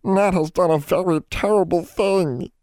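A man's voice: sits high, measured pace, a little mellow, no room sound, voice pushed up. A man voicing a tiny, servile, guilt-ridden male house elf who wails and pleads, in an audiobook. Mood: moaning guilt. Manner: wailing, pleading, speaks of himself in third person. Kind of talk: audiobook character voice.

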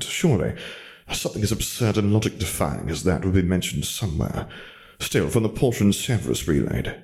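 A man voicing a bitter, arrogant dark wizard for an audiobook, soft yet commanding, ranting with scornful, precise words. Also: low voice, vocal fry, full character voice. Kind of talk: audiobook character voice.

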